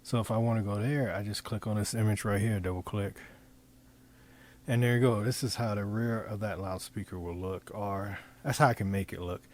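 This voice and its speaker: Low-voiced man giving an informal software tutorial. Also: fairly even intonation. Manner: halting, thinking aloud with repeated phrases. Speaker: presenter demonstrating design software. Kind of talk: informal screen-recording tutorial, improvised.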